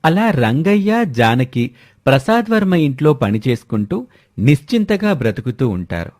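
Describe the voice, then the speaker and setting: A man's voice: medium pitch, big pitch swings, moderate pace, a little mellow, dry recording. Male storyteller, dry close sound. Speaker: storyteller narrator. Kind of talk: scripted moral-story narration.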